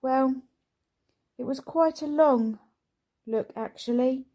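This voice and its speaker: A woman's voice: high voice, slow pace, warm tone, pitched above the performer's own voice. A woman voicing an earnest, helpful older daughter in a family story.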